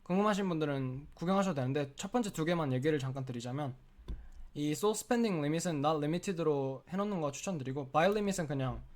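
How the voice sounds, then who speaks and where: A man with a cold and a sore throat who clears his throat often, talking through a screen-share tutorial in a chatty, explanatory way with lots of filler words. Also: on the high side, lively intonation, normal speed. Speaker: crypto trading tutorial host.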